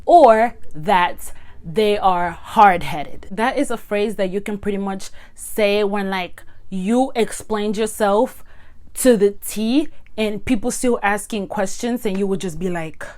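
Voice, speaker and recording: A woman's voice: medium pitch, takes it slowly. Chatty Guatemalan woman vlogging from her bedroom. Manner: chatty and animated, gesturing with her hands. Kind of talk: casual to-camera teaching. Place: small bedroom indoors.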